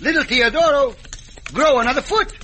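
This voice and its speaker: A jolly, simple-minded Mexican cowboy sidekick in old radio comedy-western style. The voice is high and very bright, with a Mexican accent and broken English. A faint hiss of old radio recording sits underneath. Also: male voice, moderate pace. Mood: eager, comic. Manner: broken English, speaks of himself in third person. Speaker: radio drama sidekick actor. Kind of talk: old-time radio western comic acting.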